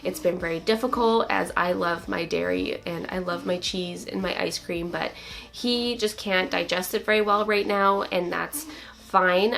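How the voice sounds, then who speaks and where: A young woman, a new mother, talking casually to camera, her voice a little raspy from a recent cold, chatty and open. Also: fairly low pitch, a bit bright. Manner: open, chatty, relieved, many likes and so's. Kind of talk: casual vlog chat.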